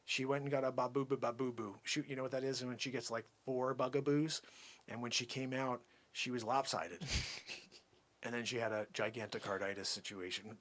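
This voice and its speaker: A man acting a pushy, bragging, sleazy cold-call salesman, improvising comic patter in a husky, strained voice that is brash, wheedling and repetitive. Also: mid-range voice, fairly even intonation, normal speed, close to an everyday voice. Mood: smooth, confiding. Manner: brash, wheedling, repetitive, swinging between whispers and yells.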